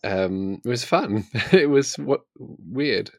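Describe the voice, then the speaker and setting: A man's voice: mid-range voice, lively intonation, slow, creaky, no room sound, studio quality. A man co-hosting a casual book chat in a chatty, hesitant, understated way. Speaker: podcast co-host.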